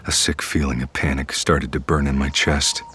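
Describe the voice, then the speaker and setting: An actor playing a wary, easygoing young man in an audio drama, hesitant and low-key, who also narrates his own eerie story. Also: level tone, moderate pace, background noise. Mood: tense narration. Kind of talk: audio fiction acting with first-person narration.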